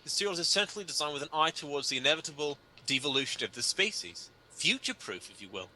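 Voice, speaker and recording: A man's voice: fairly high pitch, lively intonation, fast, pushed and bright, slight background noise, rough recording. A man giving a theatrical, pompous dramatic reading of comic prose in a mock-grave manner. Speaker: reader of a humorous article.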